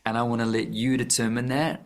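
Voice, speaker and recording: A man's voice: medium pitch, little pitch movement, fast talker, vocal fry. A man, an author and musician, speaking earnestly in a radio interview, often restarting his sentences as he searches for words. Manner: earnest, searching, frequent restarts and self-corrections. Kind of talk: reflective conversational interview. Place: radio studio.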